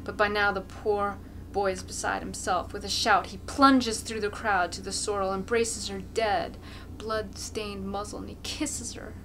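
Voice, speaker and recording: A woman's voice: mid-range voice, level tone, unhurried, background noise. A woman amateur reader giving an intense, theatrical narration of a classic novel.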